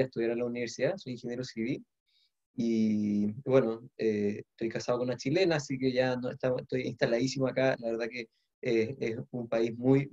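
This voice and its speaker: A man in his forties, originally from Paraguay, speaks in a friendly, measured way with a few fillers. His voice is mid-pitched and comes through muffled call audio. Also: a little mellow. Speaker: guest, government official. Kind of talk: casual video-call conversation.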